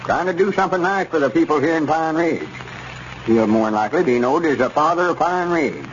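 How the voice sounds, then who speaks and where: A man's voice: fairly high pitch, expressive, a little fast, close to an everyday voice. An actor playing a self-important, gullible country man with a rural Southern accent and folksy grammar in 1930s radio comedy style. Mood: persuading. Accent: rural Southern American. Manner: folksy, pompous, ungrammatical. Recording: dull, noisy 1930s radio recording.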